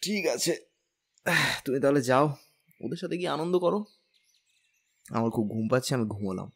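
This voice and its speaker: A man's voice: mid-range voice, animated, normal speed, husky. A young man playing a caring, playful husband who coaxes and teases in a romantic audio story. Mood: teasing. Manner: teasing, coaxing.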